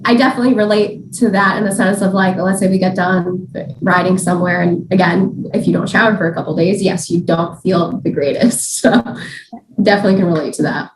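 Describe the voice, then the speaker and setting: A woman's voice: on the low side, fairly even intonation, a little hiss or hum. Upbeat woman in an echoey room. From Czech Republic. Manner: upbeat, quick to agree. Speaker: podcast guest. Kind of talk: casual chat.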